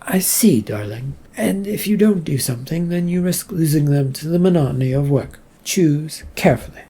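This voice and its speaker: Reader voicing a calm sensible wife, steady, gravelly and husky. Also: medium pitch, normal speed.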